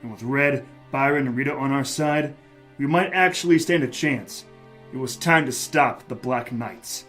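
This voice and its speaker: A man's voice: medium pitch, normal speed, a bit bright, faint music behind, close to an everyday voice. A man playing a wry, tough private detective in a noir audio drama, narrating and speaking in the first person. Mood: grim, tense. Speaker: lead actor and narrator.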